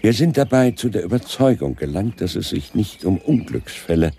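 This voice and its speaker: Deep, gravelly police inspector. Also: male voice, very animated, dark tone, a little hiss or hum. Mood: formal, grave. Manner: formal, deliberate, courteous. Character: polite, persistent male Scotland Yard chief inspector. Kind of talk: German radio crime drama acting.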